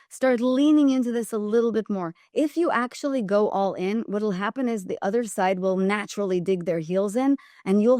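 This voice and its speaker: A woman's voice: mid-range voice, warm tone, no echo, clean studio sound. A woman with an Israeli accent, a guest on an interview podcast, who speaks emphatically and repeats phrases for weight.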